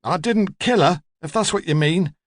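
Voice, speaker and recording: A man voicing a haughty, demanding old woman with a hoarse, rasping voice, in an audiobook story. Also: high-pitched, moderate pace, no echo, clean studio sound, voice pushed up, close to an everyday voice. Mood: haughty, pointed. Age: older. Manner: demanding, curt, imperious. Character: sharp, haughty, opinionated old woman, large and deaf.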